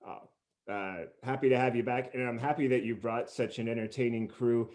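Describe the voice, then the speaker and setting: An effusive man hosts a podcast in an average-to-low male register. He talks in long, wordy runs, moving between a prepared introduction and casual interview chat. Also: steady tone, normal speed, darkish tone. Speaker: podcast host. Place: remote group call recording.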